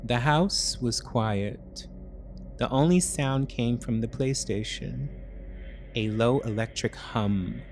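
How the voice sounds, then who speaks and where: A man with a mid-pitched voice narrates a dark first-person thriller over noticeable ambient noise. He takes his time, setting down short, weighted phrases and leaving dramatic pauses between them. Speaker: story narrator.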